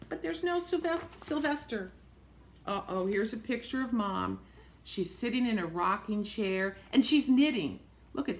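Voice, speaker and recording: A woman reading a picture book aloud to young children, expressively, pausing to point things out in simple words and repeating phrases. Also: medium pitch. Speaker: storybook reader for young children.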